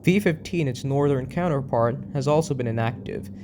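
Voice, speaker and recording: A man's voice: mid-range voice, normal speed, background noise. A man narrating an explanatory online video in a documentary style, with casual, conversational asides.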